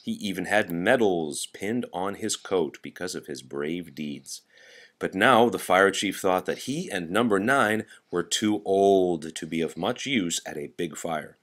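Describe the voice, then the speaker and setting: A man reading a children's storybook aloud with expressive narration. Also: deep voice, a little slow. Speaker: storybook reader.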